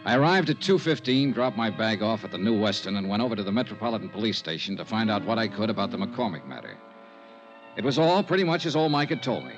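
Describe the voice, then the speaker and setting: A man's voice: lowish voice, big pitch swings, quick pace, music in the background. An actor playing a cool, skeptical male insurance investigator in a 1950s radio drama, clipped and matter-of-fact. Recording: muffled old radio broadcast.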